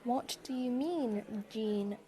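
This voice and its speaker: A woman's voice: a little high, slow pace, mellow. A woman playing a stern, exasperated department store boss in a fan audio drama, formal and condescending.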